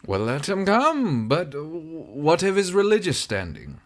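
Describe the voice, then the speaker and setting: A man playing an animated, courtly duke. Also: a little high, takes it slowly, heavily acted. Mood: considering. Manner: courtly, commanding. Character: gracious, hospitable nobleman duke hosting guests. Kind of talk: amateur period audio drama acting.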